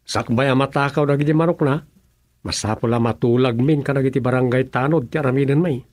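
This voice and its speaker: A man's voice: medium pitch, a little fast, darkish tone, dry recording, lightly acted. A man with an Ilocano Filipino accent playing a practical, concerned village councilor in a radio comedy drama. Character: male village councilor, practical, concerned about neighborhood order.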